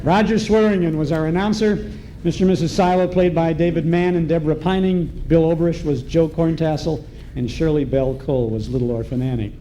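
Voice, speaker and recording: A man's voice: a little high, fairly even intonation, fairly quick, mellow, poor audio. A man hosting a live radio event, introducing acts and commenting on old-time shows as master of ceremonies. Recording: live ballroom event, faint noise.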